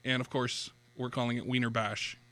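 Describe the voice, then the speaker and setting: A man's voice: medium pitch, level tone, normal speed, bright, forceful tone. A man talking casually as a guest on a radio talk show. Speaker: food event organiser, radio guest. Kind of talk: casual interview chat.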